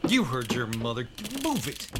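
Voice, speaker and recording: A man plays a gruff, domineering father with a Southern drawl in a Gothic radio drama. His pitch swings widely as he orders and threatens. The recording has clear noise around the voice. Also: very animated, normal speed, lightly acted. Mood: menacing, furious. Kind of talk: audio drama acting in 1930s Southern Gothic story.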